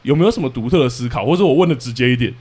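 A man's voice: medium pitch, very fast, darkish tone, husky. A man with a Taiwanese accent hosting a casual interview, putting questions to his guest. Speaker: podcast host, interviewer.

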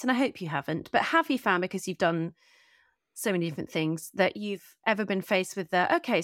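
A woman's voice: lowish voice, no echo, studio quality. A middle-aged woman hosting a conversational podcast interview, speaking earnestly and articulately in long, considered sentences.

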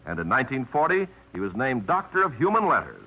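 A man's voice: mid-range voice, wildly swooping pitch, fast, breathy edge. A man announcing a 1950s radio drama in an earnest, declamatory style, on a dull old radio recording.

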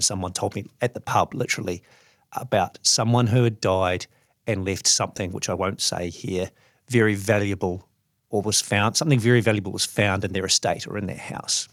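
A man's voice: on the low side, fairly even intonation, moderate pace, dry recording, clean studio sound. A man with a New Zealand accent, speaking as a journalist. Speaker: journalist reading his own story.

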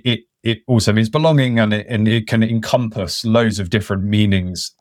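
A man's voice: fairly low pitch, no echo, clean studio sound. A man speaking as a podcast guest, reflective and thinking aloud in a casual interview. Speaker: podcast guest, conservation worker.

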